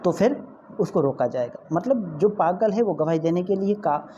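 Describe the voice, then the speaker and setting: A man's voice: high voice, lively intonation, moderate pace, warm tone. A man with a Pakistani accent giving an informal law lecture, repeating points and often checking that students follow. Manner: repetitive explaining with frequent comprehension checks. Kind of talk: informal exam-prep lecture mixing in English legal terms. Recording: muffled lo-fi audio with faint background noise.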